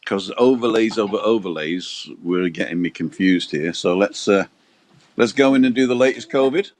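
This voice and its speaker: A man's voice: lowish voice, moderate pace. A man co-hosting a casual livestream, chatting and joking as he reads out viewer comments. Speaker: livestream co-host. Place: balcony, outdoors.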